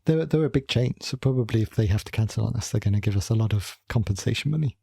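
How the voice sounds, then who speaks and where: A man's voice: fairly low pitch, fast talker, gravelly, dark tone, breathy edge, no echo. A man from Wales with a Welsh accent, co-hosting a casual podcast and rambling with dry humour and frequent self-corrections. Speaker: podcast co-host. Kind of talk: casual chat.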